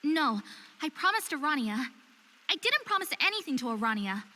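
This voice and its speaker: A woman's voice: sits high, sing-song, moderate pace, pushed and bright, long reverb tail, exaggerated voice. A woman playing an earnest, stubborn and curious young woman of faerie descent in a visual novel game. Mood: excited, nervous. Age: young adult. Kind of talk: visual novel voice acting with inner monologue.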